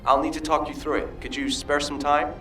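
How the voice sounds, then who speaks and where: An actor voicing a businesslike officer calling a comrade in a science fiction video game. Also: male voice, on the high side, flat delivery, brisk, pushed and bright, far from the mic, soft background music. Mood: polite, businesslike.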